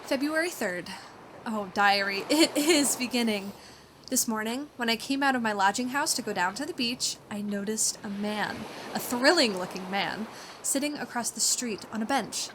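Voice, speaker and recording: An actress playing a shy, excitable, romantic spinster in her forties, breathless and girlish, in old-time radio drama style. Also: a little high, moderate pace, noisy background, close to an everyday voice. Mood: excited. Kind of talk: 1940s radio acting, diary narration and dialogue.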